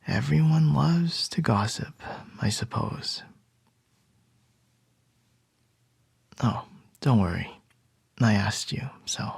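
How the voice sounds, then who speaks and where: A man acting as a composed male CEO boss in an ASMR boyfriend roleplay, murmuring softly with a breathy, whispery voice and a gently wry manner. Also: mid-range voice, no echo, heavily acted. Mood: amused, reassuring.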